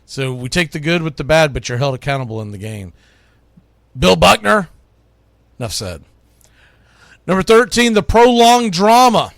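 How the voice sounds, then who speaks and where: A man's voice: on the high side, sing-song, normal speed, gravelly, long pauses, dry recording, studio quality. A man hosting sports radio, talking informally and emphatically through an opinionated countdown in a conversational way. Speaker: sports radio host, baseball play-by-play announcer.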